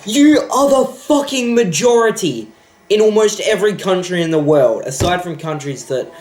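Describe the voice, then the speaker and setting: A man's voice: high-pitched, animated, moderate pace, a little mellow. A young man ranting about a film in a sarcastic, profane, exasperated way. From Australia. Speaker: vlogger. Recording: echoey room.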